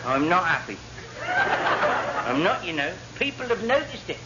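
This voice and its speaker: A man's voice: high voice, wildly swooping pitch, unhurried, dull audio, heavily acted. An actor playing a plump, reluctant English sailor sidekick in a radio comedy, plaintive and repetitive in his grumbling. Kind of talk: classic British radio sitcom acting. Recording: noisy, band-limited vintage radio recording.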